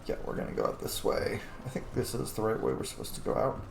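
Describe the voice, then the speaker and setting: A man's voice: lowish voice, big pitch swings, fast, gravelly. A man hosting a roleplay playthrough of a video game, chatting informally and casually. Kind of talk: casual let's-play commentary. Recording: roomy echo, faint background noise.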